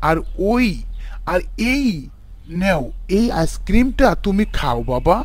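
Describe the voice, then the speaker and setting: A man voices a sly stranger in an animated story who coaxes a child with a raised, sugary voice. His pitch jumps around as he wheedles, and the sound is close and dry. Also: slow pace, no room sound, exaggerated voice. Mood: coaxing. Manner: coaxing, sweet-talking. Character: sly male stranger luring a boy.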